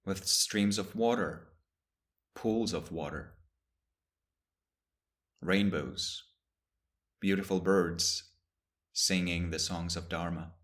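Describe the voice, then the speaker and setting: Very low-voiced man guiding a meditation. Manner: slow, calm, with long pauses between phrases. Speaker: meditation teacher. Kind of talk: guided meditation.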